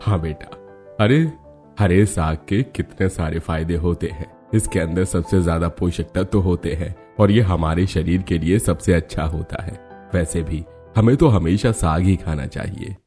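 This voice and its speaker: Cartoon household man, voiced by a male actor: deep, relaxed tone, insistent and earnest. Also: a little slow, faint music behind. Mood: earnest, approving. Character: contented, opinionated man of the family praising greens. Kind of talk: Hindi cartoon story dialogue.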